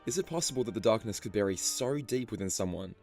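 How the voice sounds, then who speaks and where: Gravelly low-voiced male narrator over music. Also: expressive, quick pace, a little mellow. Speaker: video narrator. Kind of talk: scripted story explainer narration. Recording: music behind the voice. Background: background music.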